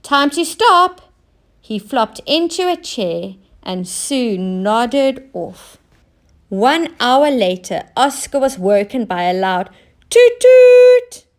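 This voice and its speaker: A woman narrating a children's storybook in an expressive, deliberate way, with emphasis on key words. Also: a little high. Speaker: teacher reading stories aloud.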